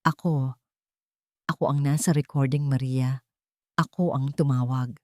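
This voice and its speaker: A female narrator plays a frightened Filipino woman hiding from a cruel family in an audio story. Her voice is mellow and dark, bitter and pained in a dramatic way. It is recorded dry and close. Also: very deep voice, steady tone, a little slow, no room sound, pitched below the performer's own voice. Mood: anguished.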